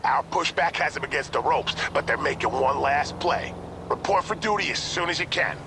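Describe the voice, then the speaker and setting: A sardonic federal agent handler. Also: male voice, a little high, animated, moderate pace, gravelly, noisy background. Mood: briefing. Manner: dry, sarcastic briefing with bursts of urgent orders. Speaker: government agency handler giving mission orders. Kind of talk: video game cutscene and mission dialogue.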